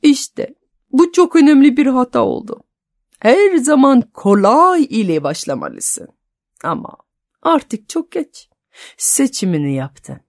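A woman storyteller with a French accent voicing a wise old male folk sage who calmly instructs a pupil. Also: extremely high pitch, pitched above the performer's own voice, heavily acted. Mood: solemn. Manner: calm, instructive, slow deliberate phrasing.

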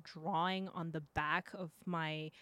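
A woman's voice: low voice, level tone, slow pace, a bit bright, no room sound. A woman in her thirties from France, a native speaker of Cantonese and French, casually recalling personal memories as an interview guest. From France, outside Paris. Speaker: artist. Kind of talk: casual personal recollection in conversation.

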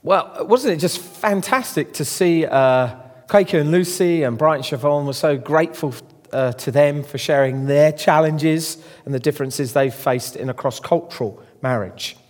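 Higher-voiced male presenter with reverb. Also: normal speed. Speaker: presenter of a marriage course. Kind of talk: presenting to an audience.

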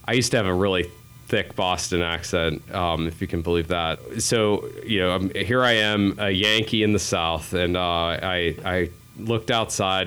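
A man's voice: low-pitched, fairly bright tone, clear, resonant tone. A man from New England, a podcast guest, telling casual stories with many fillers and restarts. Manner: rambling, self-deprecating, frequent fillers and restarts. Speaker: podcast guest, healthcare digital strategist. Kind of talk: casual interview storytelling.

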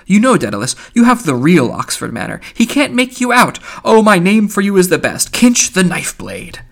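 A man's voice: high voice, wildly swooping pitch, moderate pace, creaky, a bit bright, voice pushed up, exaggerated voice. A man voicing a plump, boisterous young man from a novel, mocking and jovial, declaiming with mock solemnity. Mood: scornful, chummy.

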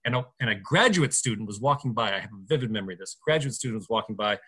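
A man with a bright, ringing voice talks as a podcast guest, telling stories at an easy pace with plenty of ums. He sounds casual and unhurried. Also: lowish voice, husky. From born in Turkey, raised in the US. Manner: relaxed, rambling, with fillers. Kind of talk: casual storytelling.

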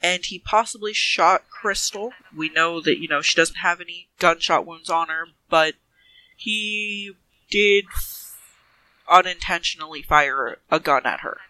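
A man's voice: on the high side, unhurried, bright, forceful tone, dry recording, poor audio. A man playing a tabletop role-playing game, improvising casual dialogue with polite, hedging questions.

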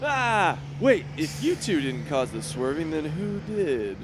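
An actor playing a boastful, cowardly young superhero who talks in a self-serving, blustery and panicky way. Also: male voice, fairly high pitch, sing-song, measured pace, noisy background.

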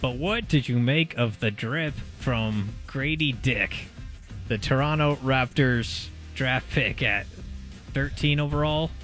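A man's voice: medium pitch, takes it slowly, fairly bright tone, soft background music. A man co-hosting a casual sports radio show, setting up topics and questions. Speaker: sports radio guest host. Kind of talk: casual sports talk, posing topics to a co-host.

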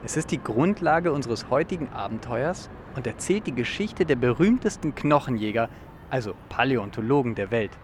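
A man acting an eager, excitable young dinosaur enthusiast in a youth radio play, explaining things dramatically. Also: medium pitch, moderate pace, slight background noise, close to an everyday voice. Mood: proud, eager. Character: eager, excitable young male dinosaur fan named Michel. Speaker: actor in an educational audio drama.

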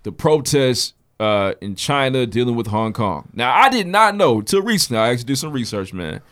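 A man's voice: medium pitch, big pitch swings, moderate pace, a bit bright. A man co-hosting a podcast, telling a news story in loose slang with plenty of swearing. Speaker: podcast co-host. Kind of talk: casual chat.

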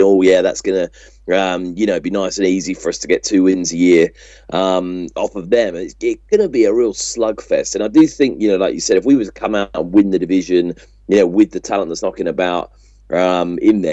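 A man's voice: deep voice, dark tone, no room sound. A man co-hosting a sports podcast, giving casual analysis in a conversational way. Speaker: podcast co-host. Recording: slightly dull, muffled audio.

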